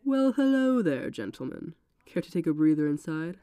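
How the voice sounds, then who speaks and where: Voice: medium pitch, big pitch swings, moderate pace, mellow, clear, resonant tone, no room sound, lightly acted. A congested narrator voicing an infected woman, sweetly menacing and coaxing. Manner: coaxing, sing-song.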